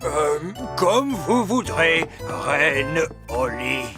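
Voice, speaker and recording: A man's voice: a little high, sing-song, extremely slow, full character voice. A man playing a fretful, solemn and formal old elf schoolmaster in a children's cartoon. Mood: dismayed. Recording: loud music behind the voice.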